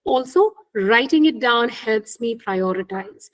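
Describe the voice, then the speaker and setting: A woman's voice: fairly high pitch, lively intonation, slow. Indian woman coaching on interviews. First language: Hindi. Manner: earnest, frequent "right?" tag questions. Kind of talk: webinar talk on job interviews.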